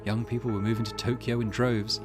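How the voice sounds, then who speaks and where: A man voicing a reflective woman in a visual novel, telling a grim tale in a measured, wistful way over music. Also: medium pitch, level tone, lightly acted. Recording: loud music behind voice.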